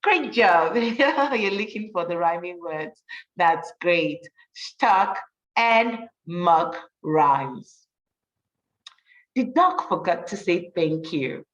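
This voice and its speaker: A woman teacher reading a rhyming story for children, with an encouraging manner and deliberate, emphatic delivery. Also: lowish voice, lively intonation, a bit bright, distant mic, highs cut off. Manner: encouraging, praising, addressing children directly.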